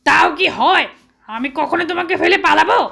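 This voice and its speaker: A woman's voice: very high voice, a little fast, creaky, pushed and bright, exaggerated voice. A woman voicing a proud, hot-tempered tiger uncle with emphatic bluster in a children's story. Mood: reassuring, proud.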